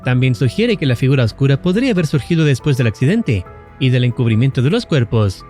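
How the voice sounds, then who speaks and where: A man narrating a scripted analysis of a horror series in a measured, explanatory way. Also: medium pitch, big pitch swings, warm tone, faint music behind. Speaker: lore channel narrator.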